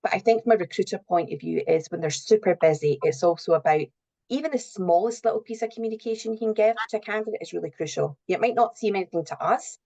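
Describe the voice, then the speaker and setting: A woman's voice: mid-range voice, quick pace, warm tone. A middle-aged woman giving earnest, explanatory answers as a guest in a conversational interview. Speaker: interview guest, recruitment and HR professional.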